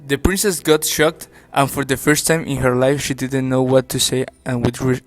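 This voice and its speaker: A man's voice: medium pitch, fairly even intonation, normal speed, faint music behind. A young adult man reading scripted fairytale narration.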